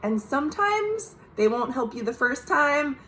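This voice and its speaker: A woman's voice: high-pitched, measured pace, a little hiss or hum. A woman librarian reading a picture book aloud for children at home, speaking expressively and talking to the kids directly. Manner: warm, expressive, addresses children. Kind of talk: virtual storytime read-aloud.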